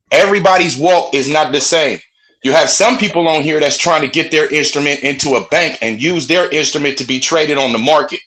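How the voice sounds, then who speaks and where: A man with a strong, loud voice, speaking passionately on a group phone call. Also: mid-range voice. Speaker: webinar participant. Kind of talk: casual group call talk.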